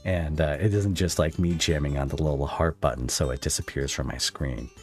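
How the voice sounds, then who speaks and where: Man with an extremely deep voice, measured. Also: fairly even intonation, darkish tone, faint music behind. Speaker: podcast host, interviewer. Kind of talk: casual conversational interviewing.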